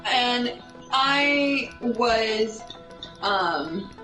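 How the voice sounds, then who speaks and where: A woman's voice: a little high, lively intonation, very slow, bright, forceful tone, far from the mic. A young woman telling a casual, sweary story while gaming, rambling and easily sidetracked. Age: young adult. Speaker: storyteller and gamer. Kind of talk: casual storytelling while playing a video game. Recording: roomy echo with music behind.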